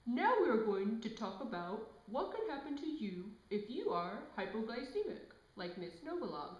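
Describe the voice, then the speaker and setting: A woman's voice: a little high, very animated, normal speed, a little hiss or hum. A woman presenting scripted educational health narration. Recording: dull echoey room sound.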